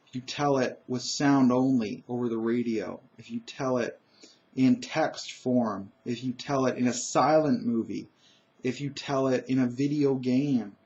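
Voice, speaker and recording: A man with a naturally loud voice talking alone about tabletop gaming, emphatic and opinionated, with frequent "uh"s and restarts. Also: mid-range voice, highs cut off. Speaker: gaming commentator. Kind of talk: solo opinion monologue.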